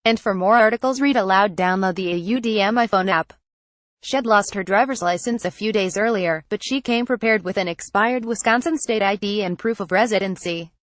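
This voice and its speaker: A woman reading a long magazine news feature aloud in a measured, even narration. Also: medium pitch, dry recording.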